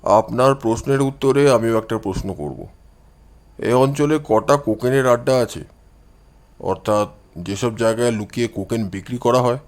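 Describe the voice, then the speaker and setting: A man's voice: mid-range voice, normal speed, voice pushed down, lightly acted. A man voicing a cool, assured amateur detective who gives orders in an audio storybook. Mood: probing.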